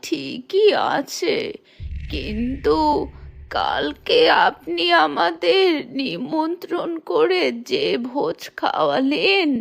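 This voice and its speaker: A poor old granny from a cartoon village. Her voice is high and very creaky, and she speaks slowly, drawing out plaintive, scolding complaints over faint music. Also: very animated, exaggerated voice. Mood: complaining. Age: older. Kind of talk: cartoon folk tale acting.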